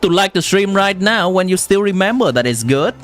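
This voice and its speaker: A man's voice: high-pitched, animated, brisk, fairly bright tone. A young man from Vietnam, a native Vietnamese speaker with a Vietnamese accent, chatting casually on a live game stream and answering viewers while giving game advice. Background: game music.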